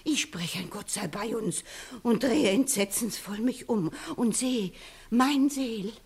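A woman playing a superstitious village eyewitness in a classical radio comedy, telling her tale with drawn-out emphasis and hushed passages. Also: on the high side, husky, exaggerated voice. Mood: hushed, awed. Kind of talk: 1960s German classical comedy radio play acting.

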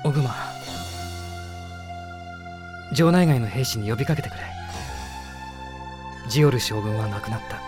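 A woman playing a gentle, idealistic young prince in a radio drama, sincere and polite. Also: very deep voice, lively intonation, brisk, music in the background. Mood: grave. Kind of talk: Japanese radio drama acting.

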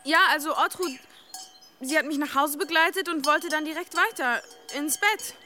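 An actress playing a nervous, evasive young village daughter in an audio drama, speaking hesitantly. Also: female voice, sits high, normal speed, pushed and bright, slight background noise. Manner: hesitant, stammering. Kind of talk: German audio drama acting.

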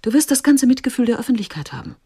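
An actress playing a cool, calculating woman in a radio drama, husky and brisk, giving unsentimental orders. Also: fairly high pitch, very animated, mellow, no echo, studio quality, heavily acted. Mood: commanding. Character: cool, commanding, calculating woman taking control of a crisis.

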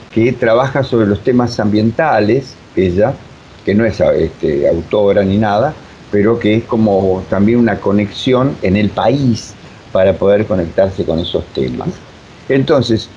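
A dull, lightly noisy recording of a man with a Buenos Aires accent. His voice sits slightly low as he explains things in interview style, digressing and stressing key words. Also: darkish tone. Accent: Argentine, Buenos Aires. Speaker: radio drama director, interviewee. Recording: dull, noisy lo-fi audio with rumble.

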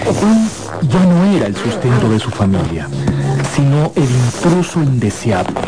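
A man narrates a radio story over prominent music. His mid-pitched voice moves around in lively fashion, and he places deliberate pauses for effect. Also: rough recording. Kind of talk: dramatized scripted narration for radio. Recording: loud music bed under the voice.

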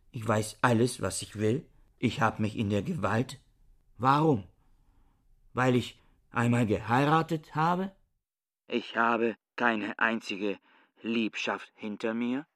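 A man's voice: mid-range voice, fairly bright tone. An actor playing an embittered, reclusive male scholar in a radio play, insistent and pedantic in manner. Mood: defensive, then aggrieved. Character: embittered, book-obsessed reclusive scholar defending his sanity. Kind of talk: German radio play acting.

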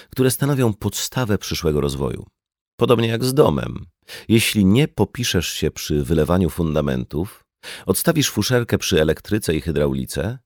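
Low-voiced man reading an audiobook. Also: darkish tone, no room sound, studio quality. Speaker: audiobook reader. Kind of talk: scripted audiobook narration in a conversational format.

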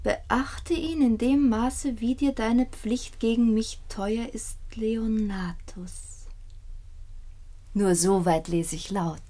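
An actress playing a gracious, devoted princess in a classical German radio play, speaking formally and with measured care. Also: female voice, a little high, mellow. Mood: gracious, tender.

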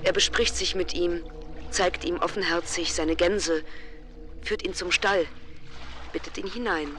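An actor playing a pompous, florid commentator in a radio drama, narrating in a declamatory way. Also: male voice, sits high, steady tone, unhurried, a bit bright, a little hiss or hum. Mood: mock-solemn.

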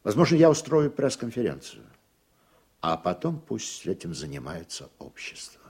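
An actor playing a grave, principled middle-aged judge in a radio play, speaking deliberately in a husky voice. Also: male voice, on the low side, very animated, a little mellow, exaggerated voice. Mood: resolved, troubled.

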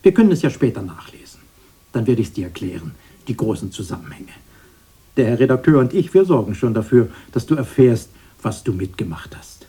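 Querulous, husky fairy-tale brother, swooping delivery. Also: male voice, medium pitch, big pitch swings, a little fast, mellow. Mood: self-important. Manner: emphatic, pedantic, declaiming. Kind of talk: German radio drama acting.

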